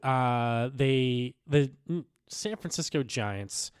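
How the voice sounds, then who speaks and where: A young man co-hosting a casual sports podcast, slightly raspy from recent illness, rambling with lots of fillers and restarts. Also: mid-range voice, lively intonation, takes it slowly, dry recording, studio quality. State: recovering from illness, a bit raspy. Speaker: podcast co-host.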